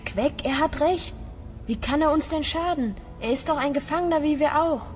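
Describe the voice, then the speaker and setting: A woman's voice: high-pitched, moderate pace, soft background music, close to an everyday voice. A woman playing a brave, sensible young hero, speaking earnestly and decisively in a fantasy radio play.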